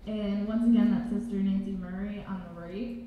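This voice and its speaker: A woman's voice: mid-range voice, fairly even intonation, moderate pace. Young woman presenting in an echoey hall. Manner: casual, uses "like". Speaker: student panelist. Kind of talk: panel presentation. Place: large reverberant college hall. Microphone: handheld mic. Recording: echoey hall, dull sound, faint noise.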